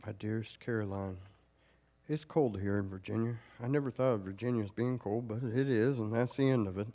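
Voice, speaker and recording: A man's voice: on the low side, fairly quick, warm tone, natural voice. An actor playing a homesick, plain-spoken Civil War soldier, earnestly reading out his letter home on stage. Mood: tender, wry. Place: live stage performance in a recreation hall. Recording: reverberant live hall.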